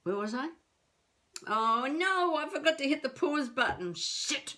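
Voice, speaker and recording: An actress playing an elderly wannabe novelist, wry and self-mocking, musing haltingly to herself in a confessional video-diary monologue. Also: female voice, high voice, lively intonation, pushed and bright, exaggerated voice. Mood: flustered. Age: older.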